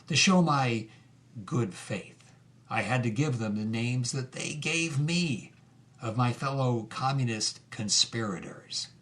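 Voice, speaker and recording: A man's voice: medium pitch, animated, slow pace, fairly bright tone. An actor playing a bitter, defeated man voicing his farewell letter in an audio drama. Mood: bitter, sardonic.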